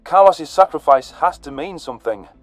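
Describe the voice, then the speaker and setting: A man's voice: fairly high pitch, expressive, normal speed, no room sound, faint music behind, natural voice. A man playing a grieving, vengeful alien soldier who narrates a sci-fi war story in earnest, formal, articulate language. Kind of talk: first-person sci-fi story narration and dialogue.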